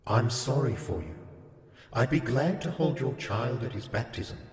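A man's voice: mid-range voice, animated, measured pace, darkish tone, lightly acted. A man voicing God in a fairy tale as a grave, kindly father figure, with long reverb. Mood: kindly, reassuring.

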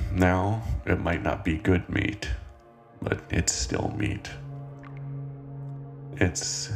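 A man playing a brooding, unsettled cannibal confessing his past in a slow, deliberate horror monologue. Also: a bass voice, vocal fry, exaggerated voice. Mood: wistful, haunted. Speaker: horror anthology writer and narrator. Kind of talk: first-person horror monologue, dramatic reading.

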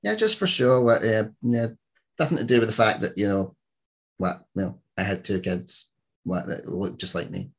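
A man's voice: fairly low pitch, moderate pace, slightly rough. A Scottish man in his thirties hosting a podcast interview, telling stories in a rambling way with many restarts. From Scotland. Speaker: podcast host. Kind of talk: casual interview chat.

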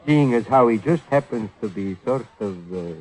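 An actor playing a sly, needling blackmailer in an old radio crime drama, talking in ungrammatical street slang with mock friendliness. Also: male voice, on the low side, lively intonation, moderate pace, a little mellow, lightly acted, rough recording. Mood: mock-casual menace. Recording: old radio recording, music behind.

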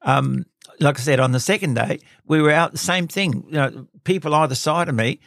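A man's voice: a little high, normal speed, gravelly, a bit bright, dry recording, studio quality. Older man telling rambling stories. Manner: rambling anecdotal storyteller, chuckles often. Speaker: host. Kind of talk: casual chat interview.

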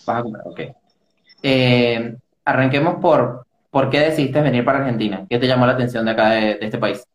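A man hosting an interview, asking halting questions full of fillers. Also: medium pitch, steady tone. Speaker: interview host. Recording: roomy echo with faint music.

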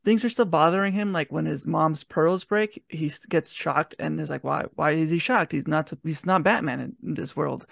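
A man's voice: a little high, normal speed, creaky, hardly any pauses, fairly bright tone, dry recording, clean studio sound. A man co-hosting a podcast, giving opinions in a casual, hesitant, conversational way. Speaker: podcast co-host. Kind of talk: casual review chat. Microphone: online video call recording.

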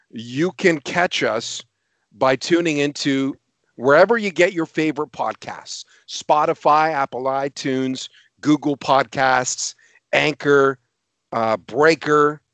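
A man's voice: a little high, fairly bright tone, no echo. A middle-aged man co-hosting a casual podcast, chatty and self-mocking, laughing easily. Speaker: podcast co-host. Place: home, two floors from family.

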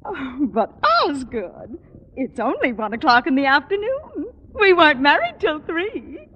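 An American actress playing a sweet, prim, fluttery middle-aged wife in old-time radio comedy, with a genteel manner. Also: female voice, high voice, big pitch swings, a little slow, highs cut off. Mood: affectionate, coy. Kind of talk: 1940s-50s radio comedy acting. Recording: noisy old radio broadcast, loud audience.

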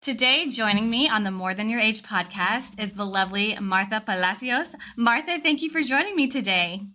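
A woman podcast host chatting and interviewing in a casual, animated storytelling manner. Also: fairly high pitch, normal speed.

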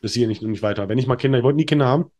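A man's voice: mid-range voice, rapid-fire, a little mellow. A man in his thirties, a podcast guest telling candid stories in a rambling way with lots of fillers and restarts. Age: 30s. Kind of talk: candid conversational storytelling.

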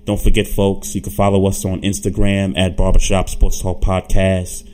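A very deep-voiced man hosts a laid-back sports talk show. He talks loosely, repeating words and restarting as he thinks. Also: normal speed, a little hiss or hum. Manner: relaxed, stammers and repeats words. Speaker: podcast co-host.

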